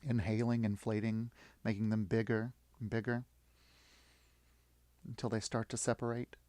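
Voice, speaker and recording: Low-voiced man guiding a meditation. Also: little pitch movement, warm tone, dry recording. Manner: soothing, encouraging, with long pauses. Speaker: yoga nidra guide. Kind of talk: guided meditation, spoken slowly in short phrases.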